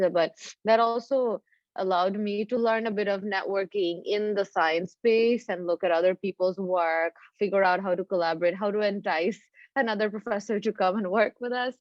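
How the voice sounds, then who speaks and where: A woman from Bangladesh giving an informal personal career talk as a startup founder, with hesitant, halting phrasing. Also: on the low side. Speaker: deep tech startup founder, guest speaker. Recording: roomy, slightly dull lo-fi sound.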